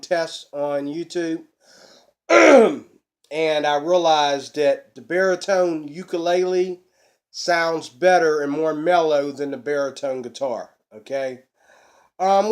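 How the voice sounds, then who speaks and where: A middle-aged man, a bit hoarse from a cold, chatting folksily to his webcam at home about ukuleles. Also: fairly high pitch. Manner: folksy, chatty, preacher-like emphasis. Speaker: ukulele channel host. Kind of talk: casual instructional vlog. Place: small room at home, indoors.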